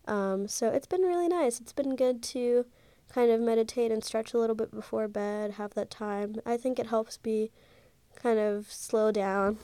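A woman's voice: mid-range voice, warm tone, clear, resonant tone, no room sound. Congested young woman chatting on a podcast. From Ohio, USA. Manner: chatty, rambling, many fillers like "like" and "um". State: congested, stuffy nose. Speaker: podcast host. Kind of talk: casual solo podcast chat. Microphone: handheld mic.

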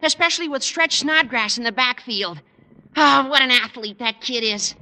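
An enthusiastic, cocky teenage boy in an old radio comedy. The voice sits very high and squawky, with a forceful brightness. He gushes in emphatic slang, heard in the long ring of a hall. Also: normal speed, heavily acted. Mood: gushing. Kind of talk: 1950s radio sitcom acting.

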